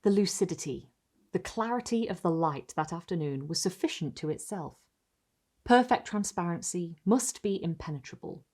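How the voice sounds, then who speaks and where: A woman presenting and reading literary tales in a dramatic storytelling style, savouring her words with deliberate, careful enunciation. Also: fairly low pitch, lively intonation, a little mellow. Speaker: host reading and analysing short stories.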